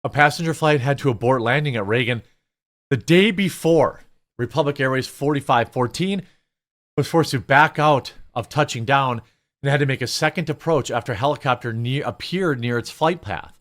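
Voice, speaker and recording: A man's voice: medium pitch, normal speed, a bit bright. A man hosting a news commentary show, speaking off the cuff in a blunt, opinionated, sometimes sarcastic way and reading bits of articles aloud. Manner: blunt, opinionated, rhetorical questions.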